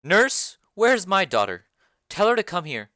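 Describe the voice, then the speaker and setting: A woman voicing a stern, formal noble mother in a dramatic reading of a play, speaking in a lowered, measured voice. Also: very animated, pushed and bright, no echo, studio quality, heavily acted.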